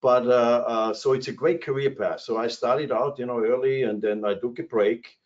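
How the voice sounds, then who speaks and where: A man's voice: mid-range voice, steady tone, normal speed, highs cut off. A man in his sixties from Austria with an Austrian German accent, speaking as a cruise industry executive on a webinar panel from his office, rambling through anecdotes with many fillers and restarts. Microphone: video call.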